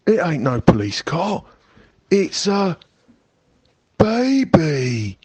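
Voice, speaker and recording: A man reading a storybook aloud and voicing a rough-spoken male burglar with a slow, drawling dialect. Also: fairly high pitch, big pitch swings, a little mellow, dry recording, pitched above the performer's own voice, heavily acted. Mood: amazed.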